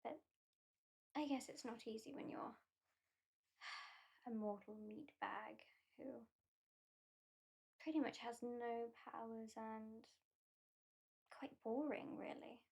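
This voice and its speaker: A haughty British vampire lady, played by a woman in an ASMR roleplay, soft and breathy with a slightly raised, mellow tone. She drawls slowly and teasingly, talking down to her new mortal pet and often sinking into a close-up whisper. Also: fairly high pitch, fairly even intonation, heavily acted. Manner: slow, teasing, condescending, often drops to a whisper. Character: haughty female vampire who claims the listener as a pet.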